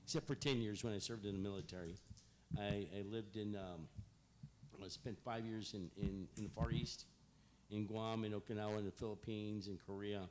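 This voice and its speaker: A man, giving a loud, rambling pitch to a city board with many fillers and restarts. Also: steady tone, normal speed, slight background noise. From Rio Grande Valley, Texas. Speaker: business presenter at a board meeting. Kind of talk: public comment speech. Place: city board meeting room.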